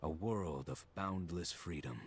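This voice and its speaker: A man playing a grave companion who narrates a fantasy game story in a measured, portentous way. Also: low voice, background noise. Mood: solemn, resolute. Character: solemn deep-voiced male narrator, a companion recalling their journey. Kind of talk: scripted dramatic story narration.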